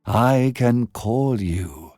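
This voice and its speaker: A man voicing the lonely, pleading soul of a fisherman in a bedtime story, with slow, drawn-out words. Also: mid-range voice, lively intonation, darkish tone, dry recording, studio quality, soft background music. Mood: wistful.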